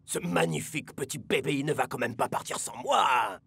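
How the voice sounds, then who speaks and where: A man voicing a slick, greedy celebrity music producer in a cartoon, a raspy, laid-back showman. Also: mid-range voice, sing-song, normal speed, fairly bright tone, no room sound, heavily acted. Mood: unbothered. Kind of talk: animated cartoon dubbing. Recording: music underneath.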